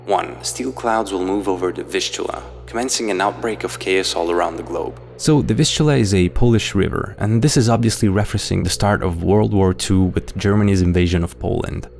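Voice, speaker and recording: Low-voiced man narrating an analysis video. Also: fairly quick, vocal fry, few pauses, a little mellow, slight background noise. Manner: explanatory, addresses viewers directly. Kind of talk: scripted analysis narration with read-out quotes.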